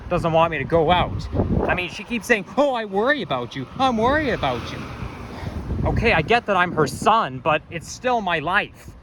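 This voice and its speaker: Young man, high voice, frustrated cursing rant, loud traffic noise. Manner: frustrated, profane venting. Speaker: vlogger. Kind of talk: walking vlog rant. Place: outdoors on a city street.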